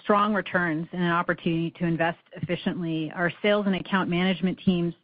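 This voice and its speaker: Female finance executive, lower pitch, businesslike, measured explanations, brief hesitations, dry conference-call sound. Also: fairly even intonation, no echo. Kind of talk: earnings call answers, businesslike. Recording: slightly dull, dry call audio.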